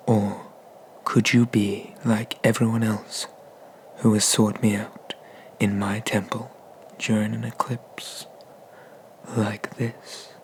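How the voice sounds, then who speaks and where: Ancient sea dragon god, male actor, ASMR roleplay: breathy whisper, very even and unhurried, pompous and menacing. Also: lowish voice, a little hiss or hum. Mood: mocking, menacing. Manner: slow, pompous, condescending, with drawn-out pauses.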